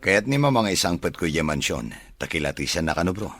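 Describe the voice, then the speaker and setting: A man's voice: low-pitched, big pitch swings, fast, fairly bright tone, faint music behind. A man playing a smitten, gullible rich bachelor who dotes on his mother, in an Ilocano radio drama. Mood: earnest, hopeful. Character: smitten, gullible rich mama's-boy bachelor, eager and soft-hearted.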